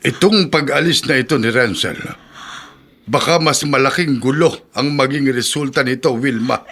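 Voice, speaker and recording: A man's voice: mid-range voice, normal speed, a bit bright. An actor with a Filipino accent playing a stern, foreboding older father in a radio drama, grave and emphatic. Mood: foreboding, alarmed.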